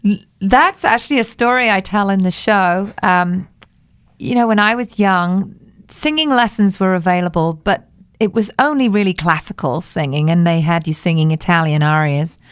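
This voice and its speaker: A woman's voice: mid-range voice, normal speed, smooth, dry recording, clean studio sound. Woman singer chatting in a radio interview. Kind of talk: radio interview, conversational storytelling. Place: radio studio.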